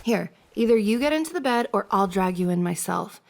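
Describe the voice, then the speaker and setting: A woman's voice: medium pitch, expressive, fairly quick, vocal fry. A woman playing a playful, teasing young woman, a best friend's older sister, in a giggly, casual ASMR roleplay. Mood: mock exasperated. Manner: giggly, teasing banter with frequent soft laughs.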